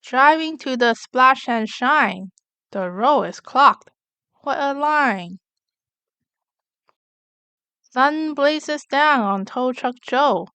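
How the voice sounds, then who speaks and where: A woman reading a rhyming picture book aloud for young children, in an expressive, clearly enunciated storytelling manner. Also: sits high, dry recording. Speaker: children's story reader, channel host.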